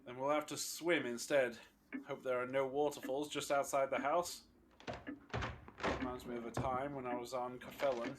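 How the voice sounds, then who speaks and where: Audio drama role, male actor: hardened resistance man, callous and scornful, medium pitch, clear background noise. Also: little pitch movement, normal speed, lightly acted. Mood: gruff reminiscing. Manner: dismissive, curt.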